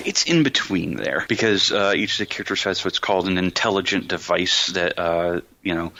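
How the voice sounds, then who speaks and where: A man's voice: low voice, sharp tone. A man talking casually and emphatically as a podcast host, explaining things with colloquial enthusiasm. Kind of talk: casual anime discussion.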